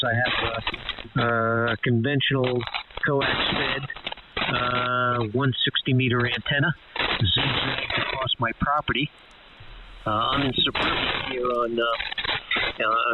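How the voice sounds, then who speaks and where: Male ham operator, hesitant and unhurried, thin narrowband radio sound buried in loud static. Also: mid-range voice. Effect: heard over amateur radio link. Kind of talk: casual ham radio conversation.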